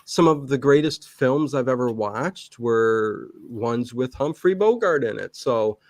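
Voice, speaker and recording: A man talks casually as an interview guest in a very dry, close sound. His pitch is average for a man. He rambles and backtracks, repeating words, then leans emphatically on his points. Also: animated, unhurried, no echo. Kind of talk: casual chat.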